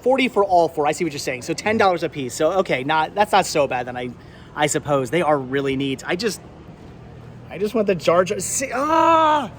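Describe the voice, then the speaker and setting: A middle-aged American man with a slightly high voice talks off the cuff, rambling and doubling back on his words with nostalgic enthusiasm. It is a casual vlog, with faint ambient noise behind him. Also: animated, brisk. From Pennsylvania, United States. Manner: enthusiastic, nostalgic, stammering repeats. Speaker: host of a thrifting vlog. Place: flea market, among vendor stands. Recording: clear background noise, flea market ambience.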